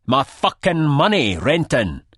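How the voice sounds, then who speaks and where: Audiobook role, acted by a male narrator: vengeful man, theatrical, husky and barking, pitched up with wild swings. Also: big pitch swings, unhurried, fairly bright tone, no room sound, studio quality, exaggerated voice. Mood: furious. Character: angry, vengeful, self-dramatising male swindled old friend.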